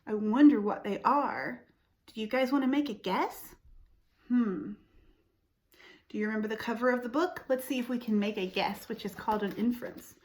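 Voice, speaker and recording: A woman reading a picture book aloud to young children, expressively, pausing to explain and ask them questions. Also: a little high. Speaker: storytime host reading to children.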